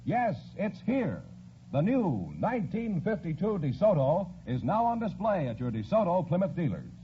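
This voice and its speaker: A man's voice: high-pitched, huge pitch swings, moderate pace, a little hiss or hum. A man announcing a 1950s radio show in a formal, projected, theatrical style. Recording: muffled vintage radio broadcast recording.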